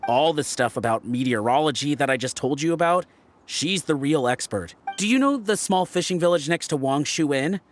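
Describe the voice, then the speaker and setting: A man's voice: on the high side, lively intonation, moderate pace, slight background noise, lightly acted. A man voicing a friendly, proud ship's lookout in a video game, chatty and explanatory, with music behind him. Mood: sincere, admiring. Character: friendly, proud male ship's lookout teaching weather lore. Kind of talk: scripted video game dialogue.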